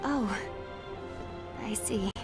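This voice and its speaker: An actress playing a composed, dutiful woman mediator in a game cutscene, calm and formally careful. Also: mid-range voice, extremely slow, music in the background. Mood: unsure. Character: composed, dutiful, soft-spoken female mediator. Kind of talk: video game cutscene acting.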